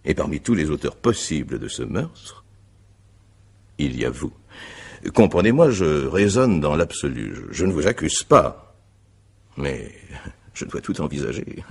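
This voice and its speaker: A man playing an examining magistrate in a radio mystery, courteous but insistent, with formal, deliberate phrasing. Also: very deep voice, big pitch swings, gravelly, slightly rough, exaggerated voice. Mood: grave, insistent. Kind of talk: French radio mystery drama acting.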